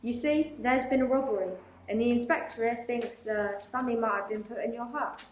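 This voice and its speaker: A teenage girl playing a brisk, officious male beach inspector. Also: fairly high pitch, echoey room, natural voice. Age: teens. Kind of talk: school radio play.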